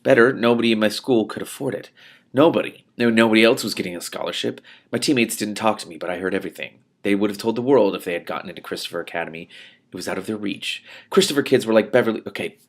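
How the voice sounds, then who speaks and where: Low-pitched, creaky man reading a novel aloud. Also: fast. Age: adult. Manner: animated, reacts aloud to the text and breaks off to comment. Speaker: reader and commentator of a book read-along show. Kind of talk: expressive read-aloud of a novel with spontaneous asides.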